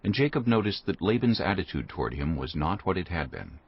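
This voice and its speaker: A man's voice: deep voice, big pitch swings, brisk, breathy edge, muffled recording. A man reading scripted narration for a dramatized audio Bible. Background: background music.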